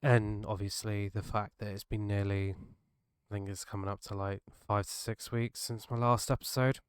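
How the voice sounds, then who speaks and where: A British man hosting his own podcast, talking earnestly and haltingly, his voice gravelly from a cold. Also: lowish voice, flat delivery, dry recording, studio quality. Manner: earnest, halting, restarts mid-thought. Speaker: podcast host. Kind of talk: solo reflective podcast monologue.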